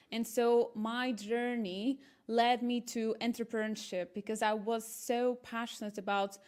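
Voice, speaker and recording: A woman in her thirties from Portugal, speaking English as an eager, earnest interview guest, with small restarts. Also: mid-range voice. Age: 30s. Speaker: guest, marketing entrepreneur. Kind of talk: casual interview talk.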